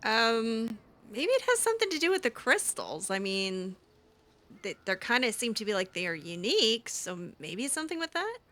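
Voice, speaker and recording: A woman playing a friendly, flattering elf mage in improvised game roleplay, with an informal, hesitant, coaxing manner. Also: on the high side, moderate pace. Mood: friendly, tentative.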